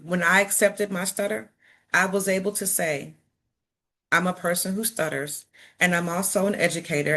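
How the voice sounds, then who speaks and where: A woman's voice: on the low side, fairly bright tone. A middle-aged woman from Chicago, a motivational speaker who stutters and repeats words, talking earnestly and encouragingly to an audience. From Chicago, United States. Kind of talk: personal talk to an audience.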